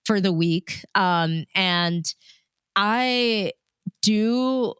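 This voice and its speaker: A woman's voice: medium pitch, very slow, a bit bright, smooth, dry recording. A woman speaking as a radio DJ being interviewed, telling stories in casual, conversational chat. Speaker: radio DJ and show host, interview guest.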